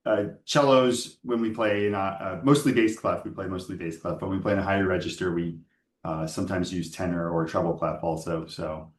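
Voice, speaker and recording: A man's voice: low-pitched, steady tone, fairly quick, echoey room. A man from the United States, a cellist, giving brief, hesitant answers with frequent uhs in an interview. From Northern Virginia, USA.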